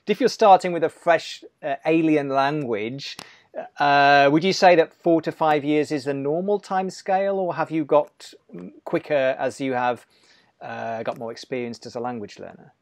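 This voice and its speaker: A man's voice: a little high, moderate pace, dry recording. A man in his forties hosting an interview, asking long, thoughtful multi-part questions over a video call from a room at home. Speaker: interview host.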